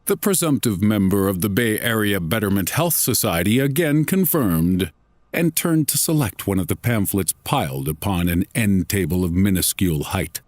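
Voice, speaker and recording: Male horror narrator, lowish and husky, deliberate literary delivery, very dry sound. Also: expressive, darkish tone, dry recording. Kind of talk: scripted horror story narration.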